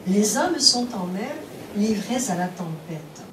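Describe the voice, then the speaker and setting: A woman reciting epic poetry in a solemn, measured declamation, phrase by phrase. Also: mid-range voice, lively intonation. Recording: roomy, distant microphone, clear background noise.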